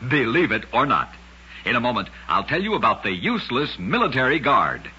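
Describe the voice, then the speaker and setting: A dull, vintage radio recording of a man narrating strange tales. His voice is markedly rough and forceful. He delivers each scripted line with a dramatic storyteller's emphasis. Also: mid-range voice, huge pitch swings, a little fast. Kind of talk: scripted old-time radio oddity narration.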